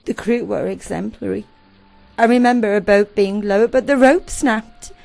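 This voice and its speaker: A woman acting a distressed, weary married shipwreck survivor in a radio play, recalling it haltingly and dramatically. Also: medium pitch, creaky, a little mellow, natural voice. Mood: agitated. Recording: community radio play with faint music.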